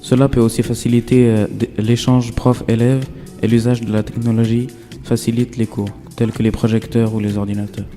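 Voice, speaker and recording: A man reading the week's news items for a school radio show in an even, read-aloud way. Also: medium pitch, normal speed, faint music behind. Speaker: student news presenter.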